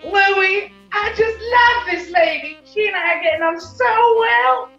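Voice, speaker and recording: Gushing American club chanteuse, high and bright, cooing melodrama, 1940s radio comedy. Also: female voice, measured pace, roomy sound, lo-fi sound, faint music behind, heavily acted. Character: gushing, theatrical young female nightclub singer, gangster's fiancée.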